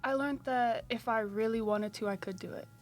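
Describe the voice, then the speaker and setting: A teenage girl from South Africa, a high school student, answering interview questions shyly and softly. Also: moderate pace, slight background noise. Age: teens.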